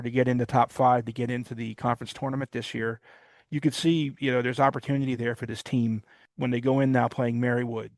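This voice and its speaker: Male sports podcast host, gravelly and flat, dry close mic, loose and rambling. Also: mid-range voice, no room sound. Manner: rambling, with many "uh" pauses. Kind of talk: casual sports recap chat.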